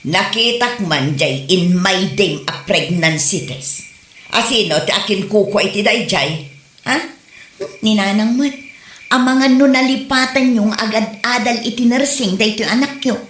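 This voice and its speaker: Casting: actress as scolding Ilocano mother, slow delivery, stern, comedy drama, echo and faint noise. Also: mid-range voice, a bit bright, natural voice. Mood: accusing. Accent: Ilocano Filipino. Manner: suspicious, nagging.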